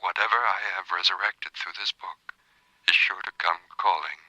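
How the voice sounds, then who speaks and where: Solemn scholarly professor, deep but bright voice, formal and haunted, horror movie tape log. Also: male voice, steady tone, moderate pace, no room sound, exaggerated voice. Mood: grave dread. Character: grave scholarly male archaeologist, haunted by demons he woke. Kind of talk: scripted horror film recorded-journal narration. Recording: noisy old reel-to-reel tape playback.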